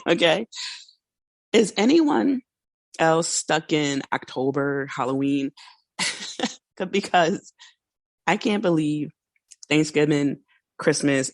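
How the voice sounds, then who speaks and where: A woman hosting a casual solo podcast, chatty and a little hoarse, laughing now and then and acting out small quoted asides. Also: deep voice, animated, takes it slowly, long pauses, no room sound. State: slightly hoarse. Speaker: podcast host.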